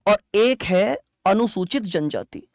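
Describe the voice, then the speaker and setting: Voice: mid-range voice, expressive, takes it slowly, darkish tone, no echo, studio quality. An exam-prep teacher explains conversationally in a lecture style, asking questions and answering them, repeating key points and checking understanding.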